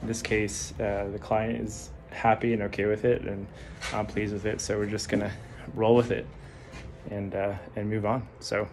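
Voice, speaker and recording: A man's voice: fairly low pitch, moderate pace, noisy background. A man in his thirties casually talking through a woodworking build in his home shop, thinking aloud with lots of ums. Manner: relaxed, thinking aloud with many fillers. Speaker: woodworker vlogger. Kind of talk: casual workshop vlog.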